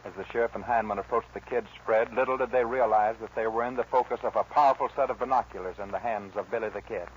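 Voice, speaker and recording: A man's voice: on the low side, steady tone, fast talker, hardly any pauses. A man with an American accent narrating a western in solemn, theatrical 1940s radio style. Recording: muffled old radio recording, faint noise.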